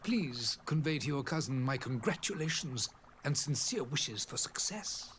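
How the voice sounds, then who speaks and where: A man's voice: mid-range voice, expressive, a bit bright, a little hiss or hum. An actor playing a gracious, grave older male ambassador in a video game, with formal, florid phrasing. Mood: gracious, regretful. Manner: formal, florid, hesitant pauses. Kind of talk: scripted video game dialogue.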